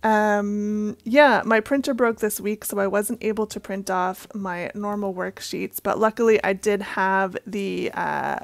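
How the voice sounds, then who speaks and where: A young woman from Canada talks through her monthly budget in a friendly, chatty way, dropping in soft ums as she writes. Her voice is gravelly and a little hoarse today, with a raspy edge. The sound is dry and close, with no room echo. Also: medium pitch. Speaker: budgeting channel host. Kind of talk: casual talk-through while working on paper.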